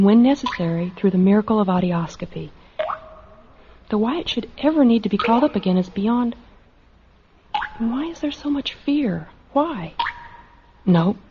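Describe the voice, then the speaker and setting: A woman's voice: mid-range voice, natural voice. Woman acting anxious, dutiful doctor, muffled recording. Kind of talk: radio drama acting. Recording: muffled lo-fi audio with hiss.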